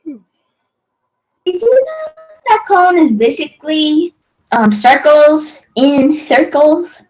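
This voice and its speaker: Young voice on a video call, slightly high, halting and unsure. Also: female voice, big pitch swings, a little mellow, faint music behind, poor audio. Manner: hesitant, searching for words. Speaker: student. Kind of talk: video class participant.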